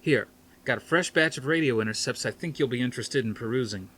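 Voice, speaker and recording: A man reading a storybook as an excitable, insistent male researcher with a loud, eager, emphatic delivery. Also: mid-range voice, quick pace, slight background noise, lightly acted. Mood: eager, smug.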